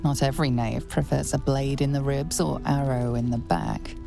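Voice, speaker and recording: A woman playing a measured, knowing storyteller, narrating a dark fantasy game in a deliberate, wry and ominous manner. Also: rumbling low, fairly even intonation, gravelly, music in the background. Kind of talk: scripted second-person game narration.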